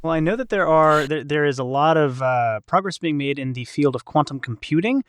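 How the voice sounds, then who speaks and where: A man's voice: a little high, fairly quick, clean tone, no echo. A man hosting a casual chat, speaking in an enthusiastic, rambling way. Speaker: podcast host.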